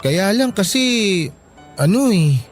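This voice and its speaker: A young male student, fairly high in voice, casual and a little hesitant, with a Filipino accent. He plays the concerned buddy in a radio drama with faint music underneath. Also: very animated, slow, full character voice.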